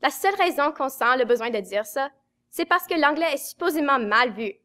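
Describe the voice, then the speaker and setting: A woman's voice: high-pitched, animated, normal speed, a bit bright. A young Franco-Manitoban woman delivering scripted film lines in a matter-of-fact, explanatory way. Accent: Franco-Manitoban French. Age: young adult.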